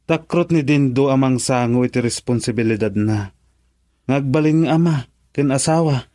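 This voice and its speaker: A man's voice: mid-range voice, normal speed, dark tone, no room sound, studio quality, lightly acted. A man acting in an Ilocano radio drama as a frank friend, speaking in an emphatic, questioning way. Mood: frank discussion.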